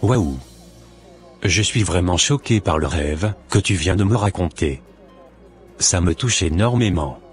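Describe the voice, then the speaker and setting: Very deep-voiced, earnest father played by a man. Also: expressive, a little slow, long pauses, faint music behind. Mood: earnest, moved. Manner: earnest, solemn. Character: repentant, earnest father, a humble churchgoing working man.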